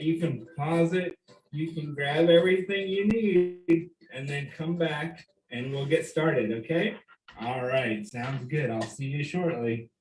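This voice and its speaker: Slow-paced man guiding young children, roomy echo. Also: fairly high pitch. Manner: simple step-by-step phrasing with questions and pauses for the child. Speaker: children's story time host. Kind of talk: children's cooking demonstration, speaking directly to young viewers.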